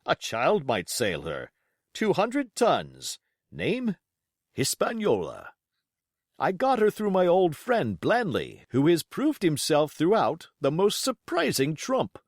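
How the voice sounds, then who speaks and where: A man's voice: on the high side, wildly swooping pitch, takes it slowly, no echo, clean studio sound, pitched above the performer's own voice, full character voice. Man playing a pompous squire, wildly swooping delivery. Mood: boastful, enthusiastic. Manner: emphatic, blustering, theatrical. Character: pompous, excitable, gullible English country squire writing a letter.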